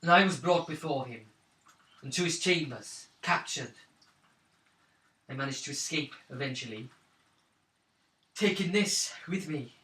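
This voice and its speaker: A man's voice: fairly high pitch, lively intonation, sharp tone. Man acting out an earnest wounded elf woman, echoey. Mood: solemn, recounting. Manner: formal, solemn storytelling in measured phrases. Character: wounded female elf fugitive, earnest, pleading. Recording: roomy, distant mic, faint noise.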